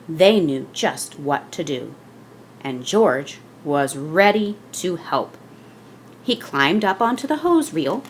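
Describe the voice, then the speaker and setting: Expressive woman reading a children's storybook. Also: sits low, a little hiss or hum. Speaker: children's book reader. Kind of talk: scripted storybook narration for children.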